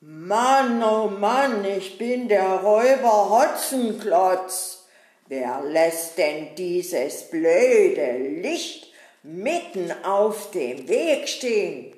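A gruff, greedy robber bellows and grumbles through a puppet show, played by a woman. He speaks slowly with heavy, angry emphasis. The recording has a roomy echo. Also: medium pitch, lively intonation. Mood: grumpy, angry. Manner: loud, grumbling, angry blustering.

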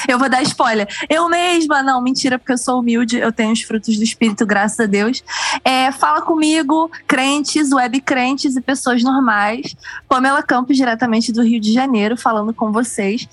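A woman's voice: high voice, normal speed, breathy edge, no room sound. A woman co-hosting a podcast, playful and joking as she greets listeners and asks questions. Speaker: podcast co-host. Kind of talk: casual podcast chat.

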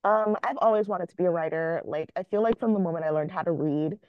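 A young woman from Jamaica talks about her writing life in a relaxed podcast interview. Her voice sits a little low, and she is candid and quick to laugh at herself. The sound is dry and close, with no room around it. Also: no echo, dull audio. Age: young adult. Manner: candid, self-mocking, laughs often. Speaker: author guest.

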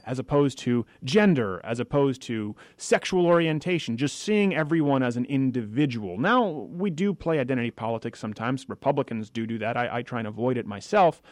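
A young adult man hosting a political talk radio show, talking fast and argumentatively with frequent asides. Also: mid-range voice, darkish tone, no echo, clean studio sound. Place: radio studio.

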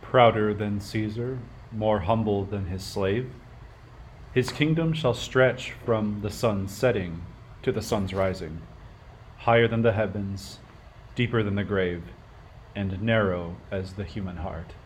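Man playing a low-voiced, solemn prophetic wise man. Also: close to an everyday voice, rough recording. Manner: slow, oracular. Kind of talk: amateur radio play acting. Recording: faint background noise.

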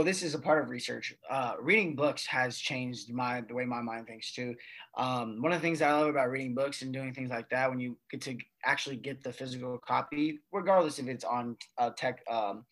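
A young man talks as a guest on a casual podcast. He rambles and fills his sentences with "like" and "you know." His voice sits at a middle pitch. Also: a bit bright, low-quality recording. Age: young adult. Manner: rambling, filler-heavy.

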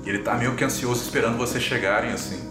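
A man's voice: medium pitch, fairly even intonation, rapid-fire. A Brazilian man narrating a tabletop game as game master, improvising as he goes. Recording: roomy echo, loud background music.

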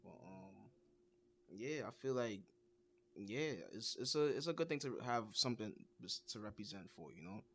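A man's voice: lowish voice, normal speed. A young man with a Trinidadian accent, chatting casually on a podcast and filling his talk with "you know". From United States. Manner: relaxed, many "you know" fillers. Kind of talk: casual chat.

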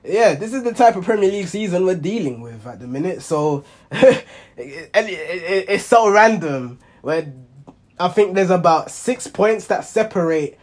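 Hoarse young guy, a bit under the weather, rambling football chat, voice a little on the high side. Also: lively intonation, a little slow. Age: young adult. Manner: rambling, many ums and self-corrections. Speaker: football reaction channel host. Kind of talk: casual monologue.

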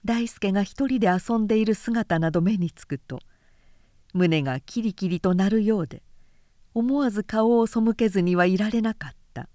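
Female audiobook narrator: medium pitch, composed literary storytelling, careful pacing, very dry sound. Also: moderate pace, mellow, no room sound. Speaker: narrator reading aloud.

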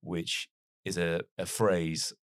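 A man's voice: sits low, level tone, slow, dry recording, clean studio sound. A man in his forties who grew up in North Wales, with a mild British accent that is not strongly Welsh, telling his life story in a casual interview. He talks in a reflective, wry, self-deprecating way. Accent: mild British English, not strongly Welsh. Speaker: podcast guest.

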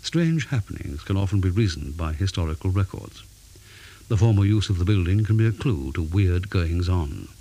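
A man reading a scripted ghost story in a measured, dramatic way, pausing for effect. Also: sits low, expressive, warm tone. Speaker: story reader. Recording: faint background hiss.

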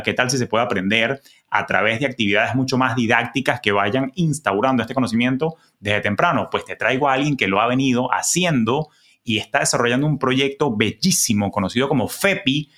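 Lively Venezuelan man hosting a podcast. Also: mid-range voice. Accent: Venezuelan Spanish. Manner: lively, colloquial, playful. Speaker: podcast host. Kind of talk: personal finance podcast interview.

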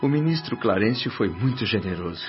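An actor playing a humble, eager doctor in a Brazilian radio drama, speaking politely and earnestly. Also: male voice, fairly low pitch, animated, a little fast, natural voice. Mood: grateful, eager. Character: humble, eager male doctor newly arrived in afterlife. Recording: dull lo-fi radio, music underneath.

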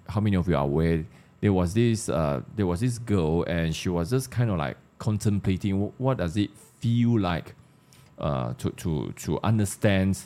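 Deep-voiced Malaysian man, mellow and halting. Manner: halting, repeats words as he thinks aloud. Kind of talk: casual discussion of social issues.

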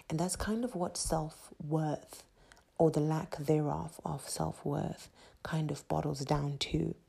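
A low-voiced woman from Zimbabwe hosts a solo motivational podcast. Her delivery is emphatic and repetitive, like a preacher driving a point home. Her tone is dark and gravelly, with a husky, breathy edge. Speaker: podcast host.

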